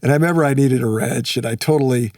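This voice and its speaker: A man's voice: medium pitch, quick pace, darkish tone, no echo, clean studio sound, distant mic. A man hosting a casual conversational interview in a folksy, informal way. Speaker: podcast host.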